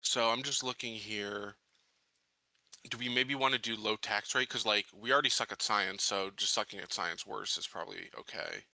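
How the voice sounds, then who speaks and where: A man's voice: on the low side, normal speed, vocal fry, bright, forceful tone, dry recording. A man playing a tabletop game, thinking choices through aloud in a tentative, questioning way. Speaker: tabletop roleplaying player.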